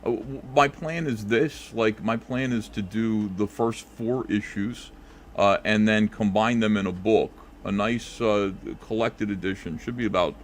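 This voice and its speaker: Easygoing low-voiced man taking calls. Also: a little slow, slight background noise. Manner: relaxed, thinks aloud with many fillers. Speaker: comic creator answering callers. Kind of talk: casual live call-in chat.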